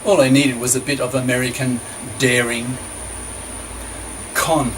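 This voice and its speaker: An older man reading his own book aloud, measured and opinionated, now and then restarting a phrase. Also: mid-range voice, little pitch movement, noisy background.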